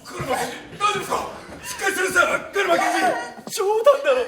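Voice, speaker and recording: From an echoey stage, picked up at a distance, comes an earnest young defence lawyer in a theatrical courtroom drama. His very high voice is emphatic and urgent, pressing every point with desperation. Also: sing-song, fast talker. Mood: desperate. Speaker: stage actor. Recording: echoey stage, distant microphone, faint noise.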